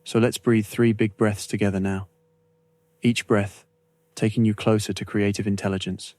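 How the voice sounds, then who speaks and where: A man narrating a scripted guided meditation in a slow, measured, soothing way with long pauses. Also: lowish voice, little pitch movement, darkish tone, dry recording.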